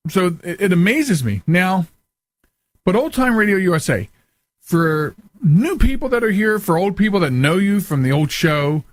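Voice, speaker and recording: A man's voice: high-pitched, dry recording. A middle-aged man hosting a talk show, interviewing casually and often rambling and interrupting himself.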